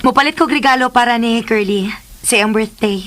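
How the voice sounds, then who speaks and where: An actress playing an eager, affectionate daughter in a radio drama, cheerful and coaxing. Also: female voice, fairly high pitch, fairly quick, a bit bright, natural voice.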